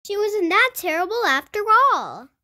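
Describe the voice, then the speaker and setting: A woman reading a picture book aloud to children in an animated storytelling style. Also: very high voice, normal speed. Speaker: children's storybook reader and host. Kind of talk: animated read-aloud narration for kids.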